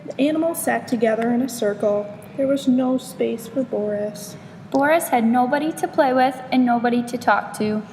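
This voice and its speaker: High-voiced woman reading in echoey room. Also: normal speed, darkish tone. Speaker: storybook reader. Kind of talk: expressive children's read-aloud. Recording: echoey reverberant room, faint noise.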